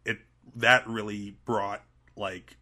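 A man's voice: lowish voice, little pitch movement, takes it slowly, pushed and bright. A man in his thirties from Trinidad and Tobago, chatting casually as a podcast guest, often restarting his phrases mid-thought. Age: 30s. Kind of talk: casual conversational interview.

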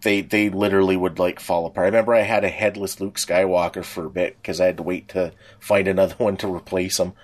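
A man's voice: sits low, fairly even intonation, quick pace, few pauses. Rambling man in casual podcast chat. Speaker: podcast co-host. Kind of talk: casual chat about pop culture.

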